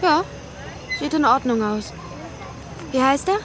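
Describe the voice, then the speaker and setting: High-voiced confident teenage girl. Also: animated, takes it slowly, crowd noise, noisy background. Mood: confident, teasing. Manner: forward, questioning. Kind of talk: naturalistic radio drama dialogue.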